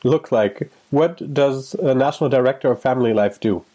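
A man's voice: medium pitch, moderate pace, warm tone, dry recording. Dry, close-miked male podcast host. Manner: friendly, curious. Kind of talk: scripted intro, then interview chat.

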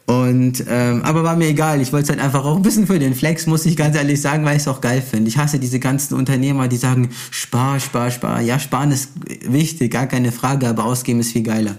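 A man's voice: medium pitch, steady tone, quick pace, few pauses, darkish tone. A young man with a Brazilian background chats casually, telling anecdotes in a slangy, boastful, unfiltered way. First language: Portuguese. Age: young adult.

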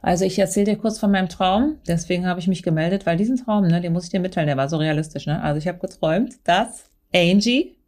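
A woman's voice: fast talker, darkish tone. A woman with a Berlin accent giving a brash, slangy comic monologue to a friend, mixing in English phrases and playing with theatrical swings in her voice. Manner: playful, theatrical pitch swings.

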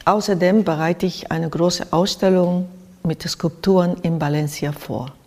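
An older woman from Japan with a Japanese accent, giving reflective interview answers haltingly as she searches for words. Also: fairly low pitch, fairly even intonation, a little mellow. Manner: halting, searching for words in non-native phrasing. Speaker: interviewed artist. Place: art studio in Berlin, indoors. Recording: reverberant, echoey room.

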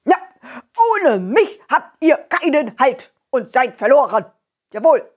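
A woman's voice: high voice, animated, takes it slowly, slightly rough, heavily acted. A woman voicing a grumpy, boastful big male boulder in a children's audio story, blustering and whiny.

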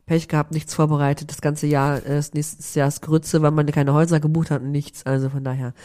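A woman with a head cold co-hosts a relaxed podcast from her office. Her voice is rough and keeps cracking, and her nose sounds blocked. She talks in a lively, slangy, easygoing way. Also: deep voice, fairly quick. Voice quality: hoarse, cracking voice. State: congested with a cold. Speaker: podcast co-host. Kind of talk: casual chat. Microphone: remote recording from an office.

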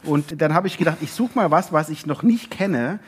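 A man's voice: fairly high pitch, normal speed, slightly rough. A man co-hosting a talk show in a casual, bantering way.

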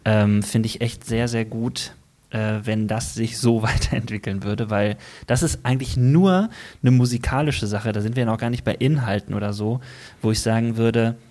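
A man's voice: on the low side, fairly even intonation, moderate pace, darkish tone. A man in a casual podcast conversation, speaking hesitantly and searching for words with many fillers.

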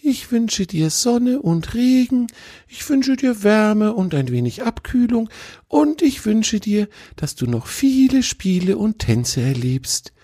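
A man voicing an eager, cheerful young male deer, talking high and excited, in a dry, close recording. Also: slow pace, husky, no room sound, exaggerated voice. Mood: warm wishing.

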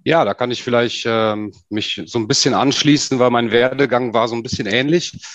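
A man's voice: mid-range voice, fairly even intonation, a little fast, fairly bright tone, dry recording. A man, a guest on a podcast, who speaks hesitantly with many fillers. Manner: hesitant, filler-heavy speech. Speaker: key account manager guest. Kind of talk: podcast interview. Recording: dry, dull lo-fi sound.

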